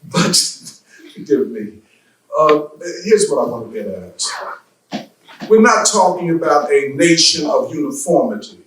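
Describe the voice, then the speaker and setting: Slow man preaching in an echoey church. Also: fairly high pitch, animated, a bit bright, a little hiss or hum. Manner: slow deliberate preaching with rhetorical questions. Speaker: guest speaker. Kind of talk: church lecture speech. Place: church hall. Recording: echoey hall from far off.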